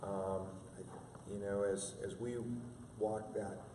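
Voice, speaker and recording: A man in his fifties, a father, reflecting in a halting, filler-heavy way. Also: lowish voice, level tone, background noise. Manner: halting, many fillers and restarts. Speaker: interview guest, father. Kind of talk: reflective conversational interview. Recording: echoey room from a distance.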